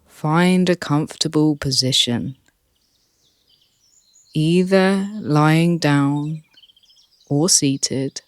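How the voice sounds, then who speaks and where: A meditation guide speaking calmly and softly, leading a relaxation session with long pauses. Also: medium pitch, no echo, studio quality. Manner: calm, soft, with long pauses.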